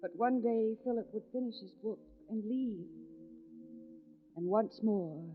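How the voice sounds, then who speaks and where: A woman's voice: a little high, measured pace, soft background music, natural voice. An actress playing a lonely, sheltered and polite young woman in 1940s radio drama style. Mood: wistful. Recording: tinny narrow-band 1940s radio recording.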